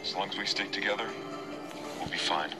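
A man's voice: sits low, brisk, loud music behind the voice, lightly acted. An actor playing an earnest, determined young male action hero in a dramatic survival horror video game.

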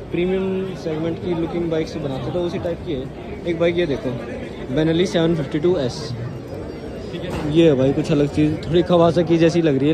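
High-voiced young man, busy hall. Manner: informal, chatty, bantering with friends. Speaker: vlogger. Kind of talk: casual walkaround commentary. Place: large crowded indoor expo hall. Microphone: handheld camera, speaker behind it. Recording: busy crowded hall background noise.

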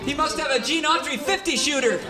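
A man's voice: very high-pitched, fairly quick. A man playing an earnest, corny cowboy sidekick in an old-time radio Western parody. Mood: urgent. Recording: echoey live stage, music, audience.

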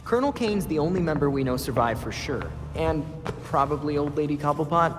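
A man's voice: a little high, fairly quick, music in the background, natural voice. An actor playing an earnest young male vigilante in a game cutscene. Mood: thoughtful. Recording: reverb with ambient noise.

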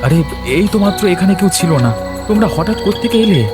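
Man as a practical gentleman, loud music behind. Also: high-pitched, lively intonation, quick pace. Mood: uneasy. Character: village gentleman, practical and puzzled. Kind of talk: horror cartoon voice acting.

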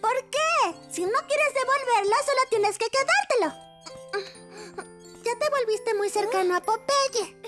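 An actor voicing a curious, supportive little girl in a Latin American Spanish children's cartoon, expressive and childlike. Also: very high voice, unhurried. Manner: bright-eyed, expressive, childlike. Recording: clear music behind the voice.

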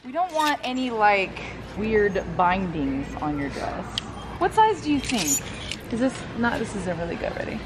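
Excitable woman shopping thrift racks. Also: mid-range voice, normal speed. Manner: excitable, exclaims over finds. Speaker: co-host. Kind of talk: casual thrift-shopping chat. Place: large thrift store, indoors. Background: store music, ambient noise.

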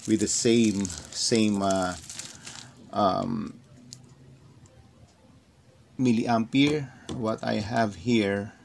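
Slow gravelly filipino guy, tagalog first language, lots of uh and um, crinkly plastic noise in the back. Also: on the low side, fairly even intonation. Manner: hesitant, many fillers. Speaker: vlogger. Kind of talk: casual unboxing talk. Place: indoors at a desk. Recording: clear crinkling noise behind. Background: plastic crinkling and clicks.

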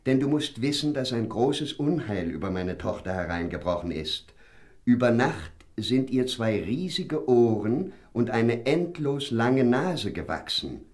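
A man's voice: mid-range voice, big pitch swings, a little slow. Stately, solemn fairy-tale king. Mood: solemn, sorrowful. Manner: stately, formal. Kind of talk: fairy-tale audio drama acting.

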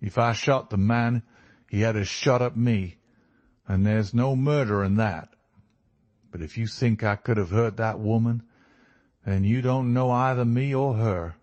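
A man voicing a fierce, lovesick and desperate American criminal in a storybook reading, forceful and emotional. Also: mid-range voice, lively intonation, takes it slowly, highs cut off, pitched above the performer's own voice. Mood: cold despair.

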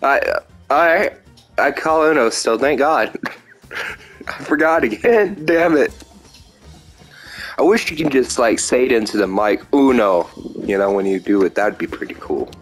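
Slow, rambling young man gaming. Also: medium pitch, lively intonation, faint music behind. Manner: rambling, self-mocking, breaks into silly sing-song chants. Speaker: gameplay commentator. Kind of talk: casual gameplay commentary.

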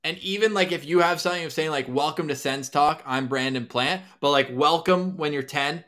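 A man's voice: on the high side, fairly quick, fairly bright tone. A man co-hosting a podcast over a video call, talking playfully and joking with his guest. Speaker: podcast co-host. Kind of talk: casual video call chat.

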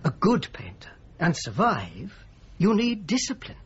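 An earnest man of faith in a radio drama. He is discreet and hesitant, with a fairly high, very expressive voice. The recording is a little dull. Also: a little slow, husky, exaggerated voice. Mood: grave, confiding.

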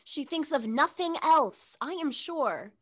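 A woman acts a pert companion to a young lady in a period comedy. She is knowing and outspoken, in a high voice. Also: lively intonation, slow, fairly bright tone, heavily acted. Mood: pert, teasing. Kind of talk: period stage comedy read aloud.

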